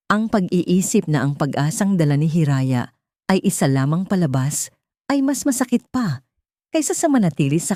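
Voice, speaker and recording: Low-pitched woman narrating drama. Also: mellow, no room sound, clean studio sound. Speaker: audio story narrator. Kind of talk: scripted dramatic story narration.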